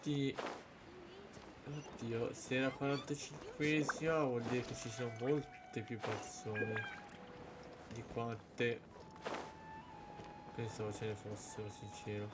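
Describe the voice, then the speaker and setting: A man's voice: mid-range voice, fairly even intonation, measured pace, long pauses. A man with an Italian accent doing casual live gameplay commentary, thinking aloud. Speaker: game streamer. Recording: loud game music behind the voice. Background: loud game music.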